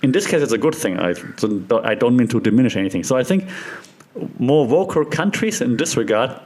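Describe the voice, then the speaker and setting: A man's voice: medium pitch, lively intonation, brisk, darkish tone. A man with a German accent talks through ideas as a podcast host, in a loose, conversational way. He keeps checking in with his listeners as he explains. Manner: chatty, checks in with listeners often.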